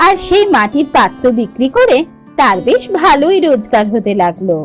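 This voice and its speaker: A woman narrates an animated village folk tale in a calm, steady storytelling voice. Her voice sits high and moves up and down with lively lift. Faint music plays underneath. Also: moderate pace.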